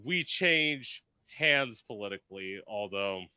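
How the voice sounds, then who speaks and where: A man's voice: on the low side, animated, slow, pushed and bright, clear, resonant tone, dry recording. A man hosting a podcast in casual chat, talking emphatically and filling his speech with "like". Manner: chatty, emphatic, full of "like" fillers; performs in drag. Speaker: podcast host.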